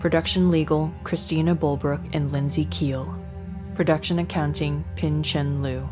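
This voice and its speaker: A woman's voice: low-pitched, steady tone, normal speed. A woman reading scripted credits as an announcer in a formal, matter-of-fact manner. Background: prominent music.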